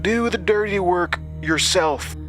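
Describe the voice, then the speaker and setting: A man's voice: sits high, slow, a bit bright, soft background music, pitched above the performer's own voice. A man voicing a cruel camp director in a horror audio drama, contemptuous, taunting and commanding. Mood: menacing, commanding. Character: cruel, sneering male camp director villain.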